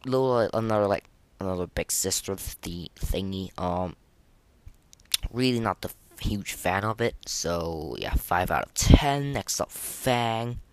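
A man's voice: deep voice, animated, a little slow, smooth. A young man hosting a casual, unscripted podcast of game ratings in a hesitant, rambling, informal way. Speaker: podcast host.

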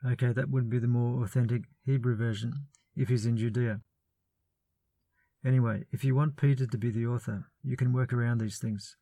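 A man with a mid-range voice gives a measured, scripted talk on biblical scholarship, reasoning step by step with a lightly wry edge. He is recorded close up in a dry, dead room with no echo. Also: mid-range voice, steady tone, mellow. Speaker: biblical studies presenter.

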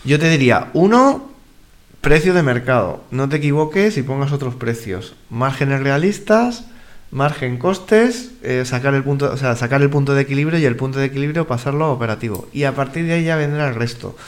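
A man's voice: medium pitch, expressive, fairly quick. A man from giving casual, emphatic advice as a radio contributor, bluntly repeating his points. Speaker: financial advisor, radio show contributor. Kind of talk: casual advisory talk. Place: radio studio.

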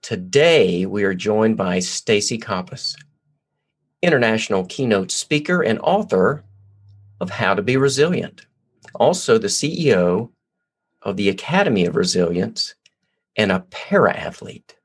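A man's voice: lowish voice, sing-song, a little slow, slightly rough. A man hosting a podcast, reading an upbeat, promotional intro and chatting with his guest. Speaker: podcast host.

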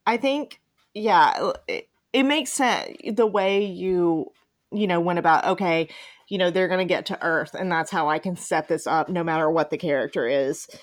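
A woman's voice: on the low side, normal speed, no room sound, clean studio sound. A woman hosting a podcast, chatting casually as she interviews a guest. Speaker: podcast host interviewing a fan fiction writer.

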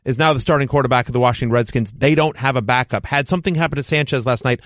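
A man in his forties hosting a casual sports podcast, joking and animated. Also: mid-range voice, rapid-fire, no echo, studio quality. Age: 40s. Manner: animated, self-deprecating joker. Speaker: podcast host.

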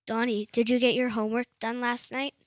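A woman's voice: on the high side, level tone, brisk, no room sound, clean studio sound, natural voice. A female performer playing an anxious, overwhelmed schoolboy in school readers' theatre.

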